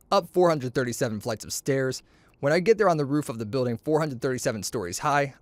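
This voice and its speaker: Animated man reading a story aloud. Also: a little high, no echo. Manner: expressive, playful, boastful delivery of dialogue.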